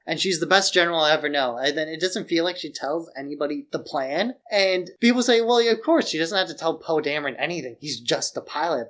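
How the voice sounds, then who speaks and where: Higher-voiced man chatting casually about film. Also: fairly bright tone. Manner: rambling, opinionated, peppered with "like". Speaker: podcast co-host.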